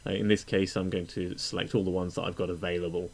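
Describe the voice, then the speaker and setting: A man narrating a casual step-by-step software tutorial in a conversational, explanatory way, pausing often with uhs. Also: deep voice, level tone, gravelly.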